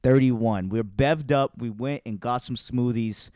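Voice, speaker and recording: A man's voice: mid-range voice, measured pace, mellow, no room sound, clean studio sound. A man hosting a casual podcast interview in a playful, teasing, informal way. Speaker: podcast host.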